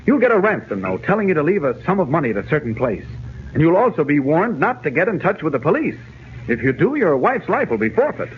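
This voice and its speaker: An actor playing a quick-thinking, take-charge American reporter in a 1940s radio serial, speaking urgently and decisively. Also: male voice, a little high, big pitch swings. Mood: urgent, firm. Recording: tinny, hissy 1940s radio recording.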